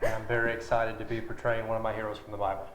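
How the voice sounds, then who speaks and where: A man's voice: lowish voice, flat delivery, racing. A man giving a casual, jokey interview as a director, with hesitant starts. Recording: echoey room with background noise.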